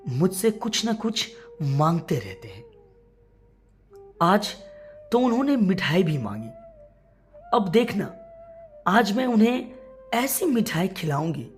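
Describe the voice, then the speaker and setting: A woman storyteller voicing a resentful, evasive young daughter-in-law in a measured, dramatic way. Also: on the low side.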